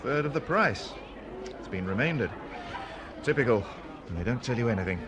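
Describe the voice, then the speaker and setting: An actor playing a dry, disgruntled schoolteacher and struggling novelist, pedantic and self-deprecating, in a radio drama. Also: male voice, medium pitch, expressive, normal speed, muffled recording, crowd noise, noisy background, lightly acted. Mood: wry, disgruntled. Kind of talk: naturalistic radio drama dialogue.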